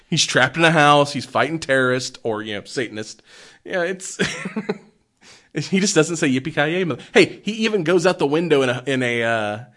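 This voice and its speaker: A man's voice: a little high, lively intonation, normal speed, fairly bright tone. A man co-hosting a horror film podcast in casual chat, joking and often laughing as he talks. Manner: jokey, laughs often while talking. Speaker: podcast co-host reviewing horror films.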